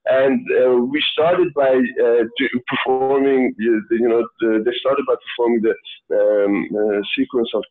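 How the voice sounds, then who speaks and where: A man in his thirties who grew up in Sweden, talking casually as a guest, halting with many fillers and restarts. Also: medium pitch, steady tone. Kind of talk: casual interview talk. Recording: tinny phone-like line.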